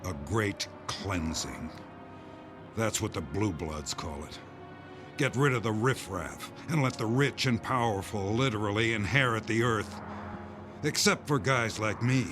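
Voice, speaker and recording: Man playing a low-voiced, grim conspiracy insider. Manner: measured, weighty, emphatic short phrases. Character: grim male insider revealing a doomsday conspiracy. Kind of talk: audio drama dialogue. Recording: music bed under the voice.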